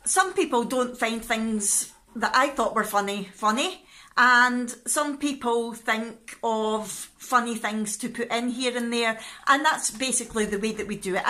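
A middle-aged Scottish woman talks casually to camera, chatty and informal. Her voice is fairly high and clearly bright, recorded on a phone held at arm's length at home. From Scotland. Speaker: vlogger and pantomime scriptwriter. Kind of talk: casual vlog talk to camera. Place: living room at home.

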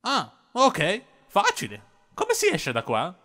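A man's voice: sits high, huge pitch swings, slow pace, very noisy, full character voice. A man voicing a small young dragon, sarcastic, grumbling and exasperated, in a comic cartoon dub. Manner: sardonic, grumbling.

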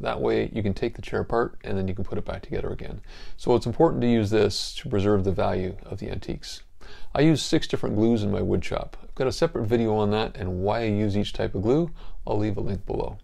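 A man in his forties talks through a wooden furniture repair in a calm, step-by-step way, as if showing each move while he works. His voice sits low and carries a gravelly fry. He is recorded in a home wood shop on a small clip-on mic. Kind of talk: hands-on repair tutorial.

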